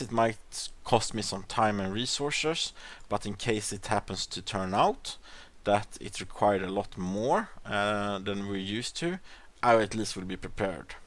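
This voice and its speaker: A man with a Swedish accent gives relaxed gameplay commentary in a somewhat low voice. He thinks out loud, stalling on ums and uhs and restarting his sentences. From Sweden. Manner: rambling, hesitant, many fillers and restarts. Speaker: gaming channel host.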